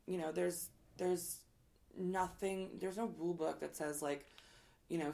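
A woman speaking frankly and at length in a casual interview, rambling with fillers and casual swearing, who says her voice is loud. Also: deep voice, creaky. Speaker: guest. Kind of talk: candid conversational interview.

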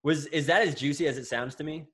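A man's voice: on the high side, quick pace. A man hosting a casual podcast, asking long rambling questions and joking around. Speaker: podcast host.

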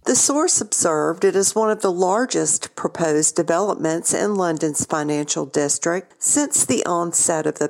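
Lower-voiced woman reading news, slight creak. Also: a little mellow. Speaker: podcast news presenter. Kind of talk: scripted news narration.

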